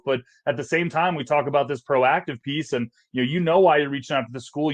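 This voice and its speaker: A man hosting a conversational advice show, clarifying points and speaking directly to the viewer. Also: medium pitch. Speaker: host.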